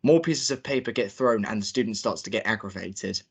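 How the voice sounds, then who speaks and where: Young male director-narrator, informal and halting, rapid delivery, slightly low pitch. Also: vocal fry, husky. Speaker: director hosting a table read and reading narration. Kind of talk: informal hosting and script narration.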